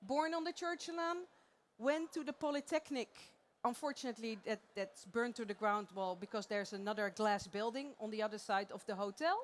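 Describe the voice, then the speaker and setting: A woman with a Dutch accent gives a live talk in a conference theater. She is playful and chatty and laughs often. Her voice sits a little higher than most women's. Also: moderate pace, slight background noise. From Netherlands. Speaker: conference presenter.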